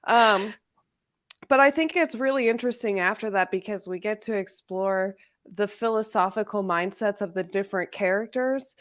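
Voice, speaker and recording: A woman in a casual book discussion, speaking in an informal, exclamatory and animated way. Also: medium pitch. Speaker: guest discussing a novel in a readalong livestream.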